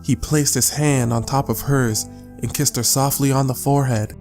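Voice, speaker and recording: A man reading scripted audiobook narration as a storyteller, measured and with deliberate pauses. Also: mid-range voice, fairly even intonation, darkish tone. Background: guitar music.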